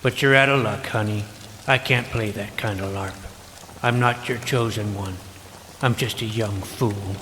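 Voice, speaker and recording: A man's voice: on the low side, fairly even intonation, unhurried, sharp tone, slight background noise. A man playing a naive, self-doubting, geeky boy who narrates his fantasy adventure in a wry, youthful, earnest way. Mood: frustrated, defeated. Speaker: narrator and lead character. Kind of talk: first-person scripted fantasy narration and dialogue. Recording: music behind the voice.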